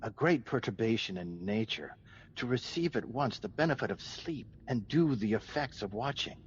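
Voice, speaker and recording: A man playing a grave, pious, watchful doctor in a Shakespearean radio drama, speaking with measured, formal concern. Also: on the low side, animated, lo-fi sound, slight background noise, lightly acted. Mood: observant, concerned.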